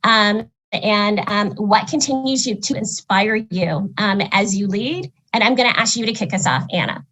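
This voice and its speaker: A woman's voice: mid-range voice, normal speed, fairly bright tone, poor audio. A woman professor moderating a panel, chatty and enthusiastic. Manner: enthusiastic, chatty, frequent fillers.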